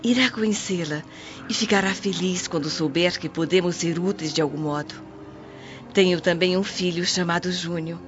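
Radio drama role: kindly devout peasant woman voiced by an actress, gentle, slightly deep, muffled recording. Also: lightly acted. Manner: gentle, motherly, earnest. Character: kindly, pious, humble Roman washerwoman. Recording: dull lo-fi audio with music behind.

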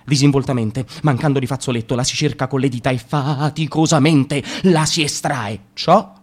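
A man's voice: medium pitch, steady tone, normal speed. Man reading wry radio-theatre narration. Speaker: radio drama reader. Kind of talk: theatrical reading of a comic monologue.

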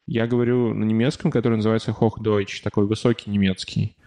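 A man's voice: on the low side, flat delivery, fast, mellow. A man chatting casually on a podcast, telling stories with many fillers and restarts. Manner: rambling storytelling with frequent fillers and restarts. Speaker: podcast guest living abroad. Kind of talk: casual chat.

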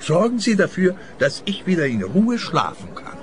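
A man's voice: high voice, big pitch swings, normal speed. An actor playing a genteel, frail man in his seventies, chatty and superstitious, in radio play dialogue. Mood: aggrieved. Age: 70s. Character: contented, frail seventy-year-old pensioner, superstitious and plaintive.

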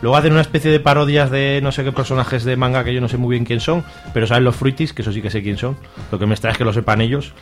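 Male radio co-host, Spain accent, quick and jokey casual chat, music underneath. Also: medium pitch, fairly even intonation. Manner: colloquial, jokey. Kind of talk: casual comics review chat.

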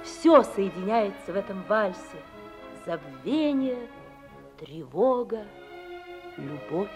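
A woman's voice: medium pitch, animated, slow. A woman narrating a radio play in a lyrical, solemn manner. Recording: music behind.

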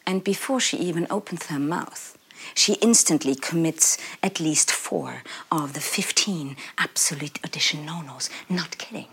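An actress playing an exasperated, theatrical casting director who delivers an emphatic spoken-word monologue in a husky voice. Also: female voice, low voice, a little slow, a bit bright, full character voice. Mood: scornful, incredulous. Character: dramatic, exasperated female casting director telling an audition story.

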